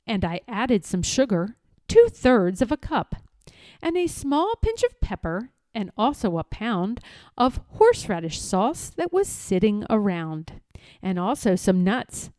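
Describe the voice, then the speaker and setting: Animated, unhurried woman reading a storybook. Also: mid-range voice, no room sound, clean studio sound. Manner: theatrical, rhythmic rhyming delivery. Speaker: storybook reader. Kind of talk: expressive children's read-aloud.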